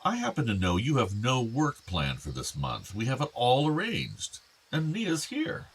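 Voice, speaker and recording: An actor playing a gentle, affectionate older father with regrets, in a naturalistic radio drama. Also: mid-range voice, huge pitch swings, a little slow, breathy edge, heavily acted. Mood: startled, pleading. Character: doting, regretful older father of two grown sons.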